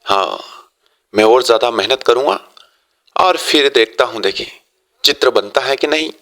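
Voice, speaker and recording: A man's voice: medium pitch, lively intonation, moderate pace, fairly bright tone, voice pushed down. A man voicing a poor, earnest, luckless young painter in a cartoon moral story, with a plaintive manner. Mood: determined.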